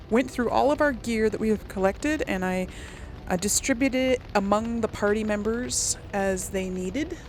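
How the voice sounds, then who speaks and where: A woman talks casually to her viewers as she plays, rambling and thinking out loud. Her voice has a noticeable creak, and there is faint noise in the background. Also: medium pitch. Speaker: let's play host. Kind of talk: casual gameplay commentary, talking to viewers.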